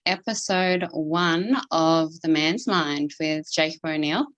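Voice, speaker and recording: A woman hosting a podcast, introducing her guest and asking questions, laughing often and speaking in a halting way. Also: low voice, fairly bright tone. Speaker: podcast host. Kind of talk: interview hosting.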